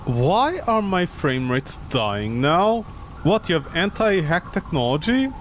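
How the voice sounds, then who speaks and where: A man's voice: on the high side, big pitch swings, slow pace, background noise. A man streaming a game with casual, mocking, exasperated commentary and plenty of swearing. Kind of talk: casual, profane gameplay commentary.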